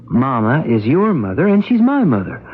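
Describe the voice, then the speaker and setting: An actor playing a patient, worried brother who explains gently and carefully in a radio drama. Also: male voice, medium pitch, huge pitch swings, moderate pace, husky. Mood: patient, earnest. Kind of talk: 1970s radio drama acting. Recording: dull lo-fi radio broadcast recording.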